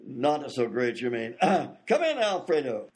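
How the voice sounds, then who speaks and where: An actor playing a flustered, wisecracking vaudeville theater manager with wry banter in a radio comedy. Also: male voice, mid-range voice, sing-song, heavy background noise. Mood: wry, irritated. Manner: wry, fast-talking banter. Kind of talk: old-time radio comedy acting.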